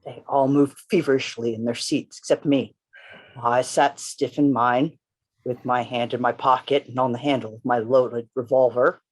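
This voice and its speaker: An actor in a radio play reading, playing an undercover policeman who tells his own story in a deliberate, theatrical manner. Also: mid-range voice, steady tone, natural voice. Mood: tense. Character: Gabriel Syme, tense undercover policeman telling his story. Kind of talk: dramatic first-person radio play narration. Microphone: video call.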